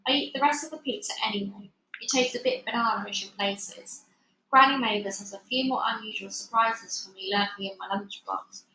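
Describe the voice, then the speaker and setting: A woman reading a children's book aloud in an expressive, dramatic storytelling style. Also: medium pitch, normal speed. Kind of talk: animated read-aloud narration. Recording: echoey room, faint background noise.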